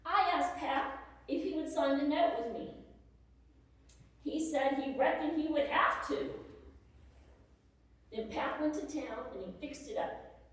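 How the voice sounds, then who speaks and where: Casting: actress as determined, hard-pressed 1860s farm wife, high voice, rustic storytelling in a dramatic letter reading, very echoey room. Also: female voice, measured pace, long pauses. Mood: resolute. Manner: plain-spoken, rustic storytelling with old country grammar. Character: determined, struggling Civil War farm wife writing to husband. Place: live presentation in an echoey room. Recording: echoey room, distant microphone.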